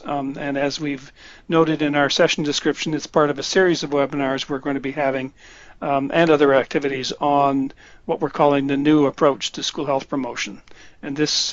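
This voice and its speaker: Guy hosting a webinar, kinda high voice, lots of ums and restarts, dead dry muffled call sound. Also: no room sound. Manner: halting, with many fillers and restarts. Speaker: webinar host. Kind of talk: hesitant spoken introduction. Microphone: computer mic on a webinar call. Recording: dull, dry lo-fi webinar audio.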